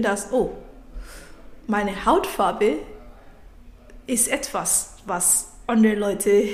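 A woman in her thirties, born and raised in Africa, speaks German as a learned language and tells her story slowly and thoughtfully, often breaking off and starting a sentence over. Her voice sits fairly high, and the room gives it a noticeable echo. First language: not German. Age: 30s. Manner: reflective, halting, restarts mid-sentence. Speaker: podcast guest. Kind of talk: personal story in interview.

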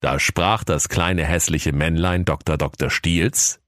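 Male storyteller, extremely low voice, mock-solemn scripted fairy tale narration, dry studio-like sound. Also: fairly even intonation, slow pace, fairly bright tone, no room sound.

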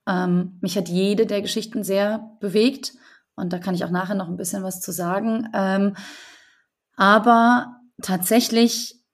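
A woman guest on a podcast, talking earnestly about the people in her book. She pauses often with fillers and restarts her sentences, and her pitch sits in an ordinary middle range. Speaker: correspondent and book author, guest. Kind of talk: conversational podcast interview.

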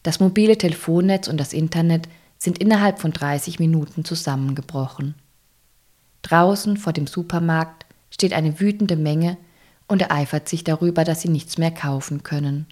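A woman's voice: low voice, darkish tone. A woman narrating an audio drama from a script.

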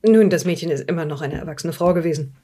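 A woman playing a composed, skeptical police interrogator in a horror audio drama, measured and probing. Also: low-pitched, warm tone, husky. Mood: dry, skeptical. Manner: matter-of-fact, probing, measured. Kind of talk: improvised audio drama dialogue.

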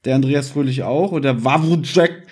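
A man's voice: medium pitch, normal speed, a little mellow, husky. A man hosting a casual chat about audio dramas, explaining things with some teasing banter. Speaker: podcast host.

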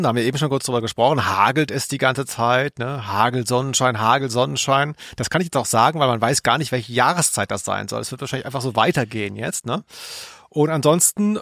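A man's voice: mid-range voice, fairly bright tone, no room sound. A man co-hosting a podcast, chatting casually with offhand, dry humour. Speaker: podcast co-host. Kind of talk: casual chat.

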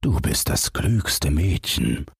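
Guy doing a fairytale king, super deep n husky, polite and coaxing, slow talker. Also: sing-song, no room sound, studio quality, heavily acted. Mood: flattering, coaxing. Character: courteous fairy tale king, coaxing and grateful. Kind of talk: storybook character reading.

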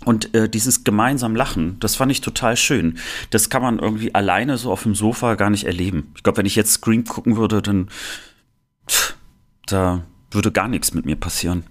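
A man's voice: lowish voice, normal speed. A man from the former Soviet Union, co-hosting a podcast, talking casually with many fillers and restarts. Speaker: podcast co-host. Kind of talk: casual chat.

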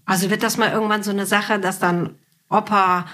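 A woman hosting a podcast in a chatty, informal, gently teasing conversational style. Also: mid-range voice, level tone, fairly quick. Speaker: podcast host and author. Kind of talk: conversational interview with narrated passages.

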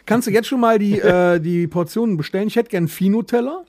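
A man's voice: high-pitched, a little fast, a little mellow. A man co-hosting a podcast in casual banter, teasing his friends and prodding them with questions. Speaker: podcast co-host.

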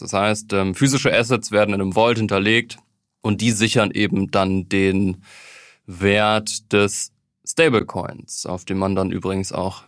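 A man hosts a podcast interview from a studio with a slightly low voice. He reads his intro smoothly, then asks his guest short, easy questions. The sound is dry and close to the mic. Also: fairly bright tone, no room sound. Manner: smooth scripted intro, then brief conversational prompts. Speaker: podcast host, editor. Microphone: studio microphone.

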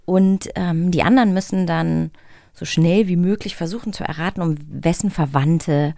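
A woman co-hosts a studio podcast, curious and full of questions. Her slightly low voice has a gravelly fry, recorded close and dry. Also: moderate pace, mellow, no echo. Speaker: podcast co-host. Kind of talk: casual conversational chat. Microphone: studio microphone.

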